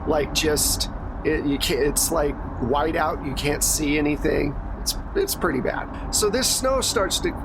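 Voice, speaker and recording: A man's voice: a little high, a little slow, background noise. A man running a tabletop horror game, narrating conversationally with wry asides. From Wisconsin. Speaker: game master.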